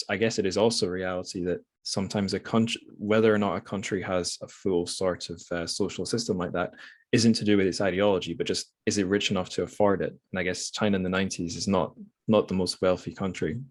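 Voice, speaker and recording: Low-voiced man talking books. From Scotland. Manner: hesitant, self-correcting, rambling. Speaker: podcast host. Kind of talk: casual book discussion.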